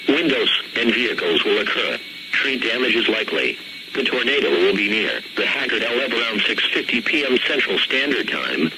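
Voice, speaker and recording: Loud noise surrounds a man reading a formal emergency bulletin. His mid-range voice is measured and impersonal, with an automated sound, like a weather radio warning. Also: mid-range voice. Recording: very noisy weather radio broadcast.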